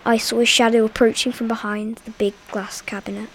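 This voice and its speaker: A woman presenting a community radio show and reading a spooky story in a clear, steady way. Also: on the high side, brisk, a little hiss or hum.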